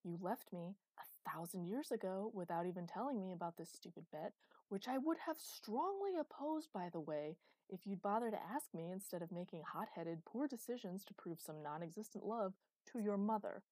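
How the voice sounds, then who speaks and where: Immortal female ruler for fantasy audiobook, voiced by a woman: imperious, exasperated, biting sarcasm, mid pitch. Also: expressive, normal speed, a little mellow, no room sound, lightly acted. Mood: furious, bitter. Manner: bitter, sardonic, hissing outbursts.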